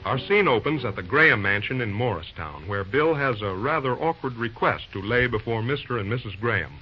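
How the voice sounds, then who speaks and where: A man's voice: medium pitch, lively intonation, brisk, husky. A man announcing and narrating an old-time radio serial in a scripted style. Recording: dull old radio recording. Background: music.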